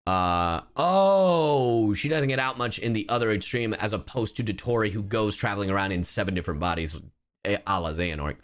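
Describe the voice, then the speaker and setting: A man streaming live reaction commentary, casual and expressive, slangy and swearing freely. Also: lowish voice, normal speed, clear, resonant tone. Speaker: streamer, host.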